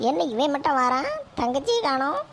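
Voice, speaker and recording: A sharp-tongued old grandmother from a village comedy skit. She speaks in a high, gravelly voice. She nags and scolds without pause, with a faint background hum. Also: sing-song. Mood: scolding. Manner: nagging, scolding, sharp rebukes. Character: scolding village grandmother, older woman, quick-tempered.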